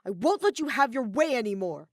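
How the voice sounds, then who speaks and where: A woman voicing a defiant, hot-headed teenage boy in an audiobook, growling and impatient in a forceful way. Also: a little high, sing-song, fairly quick, dry recording, clean studio sound, pitched above the performer's own voice. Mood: angry. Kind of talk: character voice in fan fiction audiobook.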